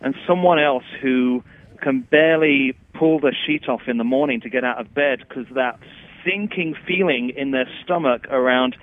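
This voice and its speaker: A man's voice: medium pitch, moderate pace. English man on a tinny phone line. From England. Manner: explanatory, paints pictures with examples. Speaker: guest, chief executive. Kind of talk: interview answers.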